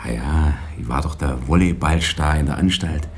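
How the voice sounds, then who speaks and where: A man's voice: very deep voice, moderate pace, a little hiss or hum. An actor playing a cocky, sly male convict in a radio drama, chummy and teasing in casual, colloquial talk. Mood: sly, jovial.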